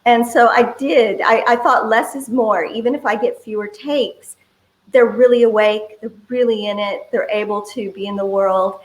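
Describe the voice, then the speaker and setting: A woman's voice: fairly high pitch, fairly even intonation, moderate pace. Echoey-room woman in casual interview. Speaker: film writer-director, interview guest. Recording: roomy, echoing voice.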